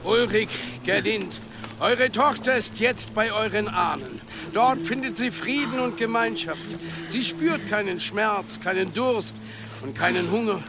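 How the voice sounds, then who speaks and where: An actor playing a solemn pagan village elder in an audio drama, soothing and ceremonial in manner. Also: male voice, sits high, a little slow. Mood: solemn, consoling. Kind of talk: German audio drama acting. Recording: clear outdoor ambience noise behind.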